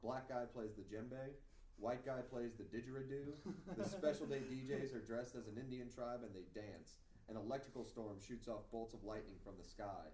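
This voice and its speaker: A man's voice: medium pitch, normal speed, warm tone. A man giving scripted narration as he reads stage directions at a table read. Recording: echoey room, distant mic, faint noise.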